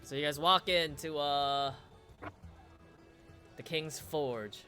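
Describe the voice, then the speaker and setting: A young man running a tabletop game as game master, describing scenes in a rambling, halting, self-mocking way. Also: fairly high pitch. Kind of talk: casual tabletop game narration. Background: soft music.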